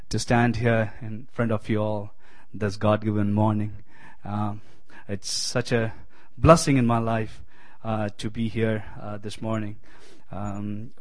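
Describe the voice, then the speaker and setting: Keralan man preaching with many pauses. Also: on the low side. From Kerala, India. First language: Malayalam. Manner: hesitant, many fillers and restarts, friendly. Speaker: guest preacher. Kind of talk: sermon.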